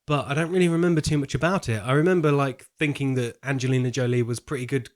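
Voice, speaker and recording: A man's voice: mid-range voice, fast. A man co-hosting a podcast, discussing films casually and thinking aloud, often repeating a word as he goes. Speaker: podcast co-host.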